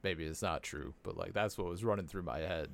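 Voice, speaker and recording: A man co-hosting a podcast, chatting casually and excitably, with jokes and plenty of swearing. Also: low voice, brisk, vocal fry. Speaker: podcast co-host. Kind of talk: casual unscripted chat about a cartoon series.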